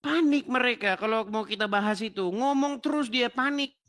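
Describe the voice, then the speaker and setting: High, bright-voiced mocking debate host. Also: male voice, normal speed. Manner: mocking, laughing, talks over the caller. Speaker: host of a live interfaith debate stream. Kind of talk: live call-in debate.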